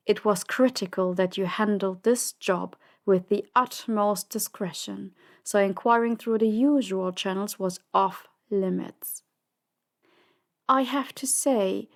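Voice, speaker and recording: A woman playing a cold, ruthless crime boss in an audio drama, commanding and dismissive in a measured way. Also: mid-range voice, natural voice. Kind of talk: audio drama roleplay acting.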